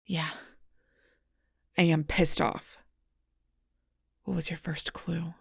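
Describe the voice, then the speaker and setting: Casting: woman to play a protective female alpha werewolf for intimate audio roleplay. Low-pitched, raspy, bitter and intense. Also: level tone, slow pace, no echo, clean studio sound, full character voice. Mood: bitter, irritated. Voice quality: breathy, husky, rough-edged. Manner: intense, confrontational, swearing, often dropping to near-whisper. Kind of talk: audio roleplay acting addressed to the listener.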